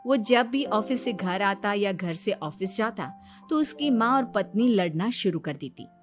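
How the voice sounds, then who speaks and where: Female cartoon story narrator: expressive, swinging intonation over a musical bed. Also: medium pitch, a little slow, faint music behind.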